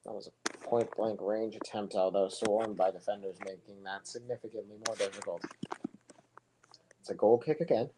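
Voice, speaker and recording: A young man giving relaxed, rambling live play-by-play for a soccer match, his voice low and monotone, with chatty asides between plays. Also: moderate pace, background noise. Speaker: soccer commentator. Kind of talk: casual live play-by-play commentary.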